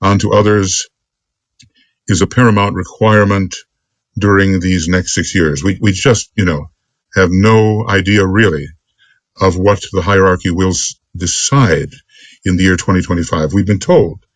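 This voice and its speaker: A man giving an extemporaneous spiritual lecture as a teacher, speaking earnestly in deliberate, halting phrases. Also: deep voice, fairly even intonation, no room sound. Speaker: esoteric teacher.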